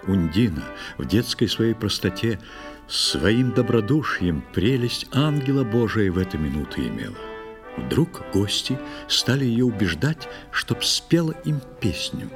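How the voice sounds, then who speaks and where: A man narrating a children's audio play, telling a verse tale in a measured, declamatory and expressive manner. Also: fairly low pitch, music in the background.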